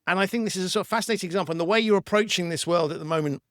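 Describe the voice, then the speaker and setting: A man's voice: high voice, rapid-fire, dry recording, studio quality. A man hosting a podcast interview, affirming his guest and rephrasing his points as he builds to a question. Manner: warmly affirming, circling back to rephrase. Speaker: podcast host. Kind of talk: interview conversation.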